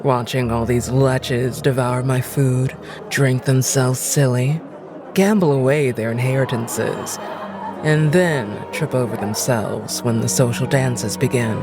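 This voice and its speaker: A woman playing a haughty, cynical noblewoman in an audio drama, with an arch, aristocratic and deliberate manner. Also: extremely low pitch, music in the background, full character voice. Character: haughty, cynical, insistent noblewoman, lady of the house.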